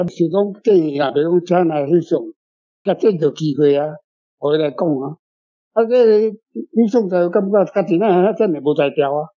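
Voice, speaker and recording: Higher-pitched Taiwanese man, very dark voice. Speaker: author answering readers' questions about a story. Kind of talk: casual spontaneous explanation. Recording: dull lo-fi sound with music underneath.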